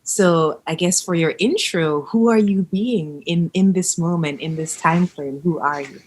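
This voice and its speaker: A woman hosting a podcast, asking questions in an enthusiastic, warm manner. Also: fairly low pitch, unhurried, slight background noise. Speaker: podcast host, birth coach. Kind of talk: casual interview.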